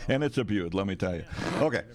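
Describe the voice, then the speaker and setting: A man's voice: lowish voice, expressive, brisk, darkish tone. An American man co-hosting talk radio, joking casually in a dry, stop-start way. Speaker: radio co-host.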